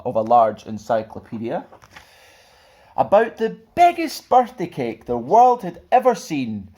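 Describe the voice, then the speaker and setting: A man tells a children's story with a very animated voice. His pitch moves around freely and he reads with theatrical expression, like the host of a storytime show. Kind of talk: expressive children's picture book reading.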